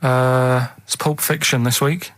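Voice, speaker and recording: A man in casual, unscripted radio banter, explaining things in a halting, vague, colloquial way as a show regular. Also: mid-range voice, little pitch movement, no room sound. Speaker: radio show regular presenting a quiz segment.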